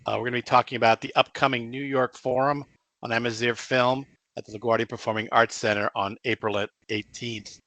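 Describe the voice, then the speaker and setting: A man hosting an informal radio interview, speaking in a halting, self-deprecating way. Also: medium pitch, little pitch movement, sharp tone, no echo. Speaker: radio show host.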